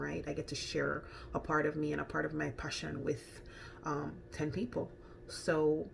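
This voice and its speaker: A woman with a Jamaican accent talks casually and rather low, rambling along with frequent ums and self-corrections. She speaks to camera like a friendly food vlogger. A faint noise sits under her voice. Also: gravelly.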